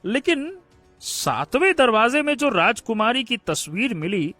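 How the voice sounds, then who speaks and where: Kind young cartoon prince, male actor: slow, theatrical delivery, high voice, excitable. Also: dry recording, faint music behind, heavily acted. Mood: pondering, puzzled. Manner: theatrical, drawn-out exclamations and hums. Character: excitable kind-hearted young prince of a fairy-tale kingdom. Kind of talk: animated Hindi moral-story cartoon dialogue.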